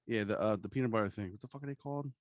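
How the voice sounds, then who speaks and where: A man's voice: on the low side, very fast, dry recording, studio quality. An American man co-hosting a podcast in casual, comedic chat, rambling along with plenty of swearing and slang. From New Jersey, USA. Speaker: podcast co-host.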